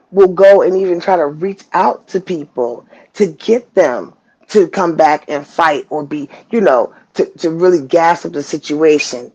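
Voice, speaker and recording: A woman's voice: deep voice, warm tone, dull audio. A woman hosting a live stream on a headset mic, talking casually and preachily, calling her guests sis and baby. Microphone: headset microphone.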